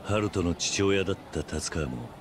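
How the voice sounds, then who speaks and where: An actor playing a stoic middle-aged yakuza veteran in a video game, terse, measured and calmly commanding. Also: male voice, low-pitched, a crowd in the background. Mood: matter-of-fact. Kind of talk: Japanese video game voice acting.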